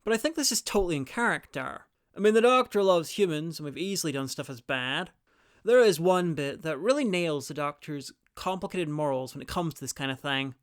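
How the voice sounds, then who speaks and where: A man's voice: a little high, lively intonation, fairly quick, no echo, studio quality. A man delivering scripted review commentary as the host of a video guide.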